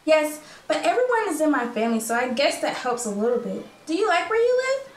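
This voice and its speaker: A woman's voice: high-pitched, sing-song, brisk. A woman playing a young, candid, chatty refugee girl in a radio play. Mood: wistful. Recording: echoey room with faint noise.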